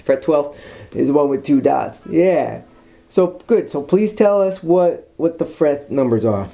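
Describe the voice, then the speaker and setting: A man's voice: fairly high pitch, lively intonation, unhurried, vocal fry, dark tone. A man giving a guitar lesson, patiently walking students through chords step by step. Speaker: guitar teacher. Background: guitar playing.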